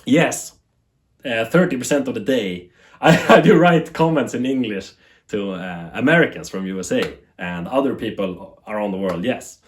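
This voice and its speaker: A man from Sweden with a Swedish accent, speaking English as a second language in a rambling, animated talk to camera as a TV tech YouTuber, laughing at himself. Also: on the low side, moderate pace.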